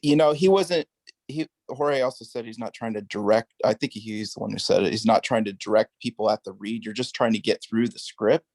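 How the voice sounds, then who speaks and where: A man speaking in a casual group discussion, measured and a little hesitant. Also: on the low side, a little mellow, no echo. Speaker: study group participant.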